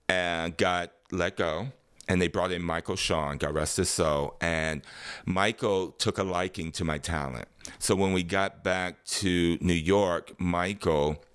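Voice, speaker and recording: A man with a very deep bass voice, bright and smooth in tone. He tells his career stories in an animated way and jumps into other people's voices as he goes. He is from Detroit and talks about life on stage. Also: no echo. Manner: animated, acts out quotes and laughs often. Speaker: Broadway performer and guest. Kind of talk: casual storytelling interview.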